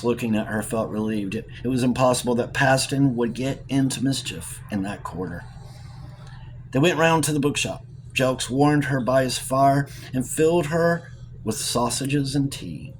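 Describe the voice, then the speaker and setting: A man reading a novel as scripted narration, in a measured, expressive storytelling manner. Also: medium pitch, slight background noise, poor audio. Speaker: audiobook reader.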